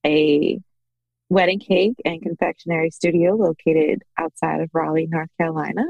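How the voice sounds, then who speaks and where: A woman with a somewhat low voice and noticeable vocal fry chats as a podcast guest. She tells her own story in a conversational way, livening up when she quotes others. The recording is dry and close. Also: darkish tone, no echo, muffled recording. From Bahamas. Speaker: podcast guest, small business owner. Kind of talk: conversational personal storytelling in an interview.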